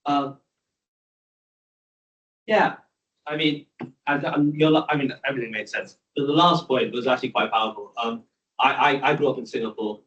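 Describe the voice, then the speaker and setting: A man's voice: mid-range voice, moderate pace, long pauses, a bit bright. A man from the south of India, speaking from the audience in a lecture Q&A, hesitant with many fillers. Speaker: audience member. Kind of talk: audience comment in Q&A. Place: lecture hall. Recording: dull, echoey, from far off.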